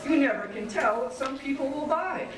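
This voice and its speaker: A man playing a secretive, nostalgic old hermit who tells his tale in rhyming stage verse with theatrical, drawn-out delivery. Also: very high voice. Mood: boastful, dismissive. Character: secretive old male hermit creature, nostalgic, boastful, wheedling storyteller. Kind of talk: readers theater verse performance. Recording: roomy, distant, faint noise.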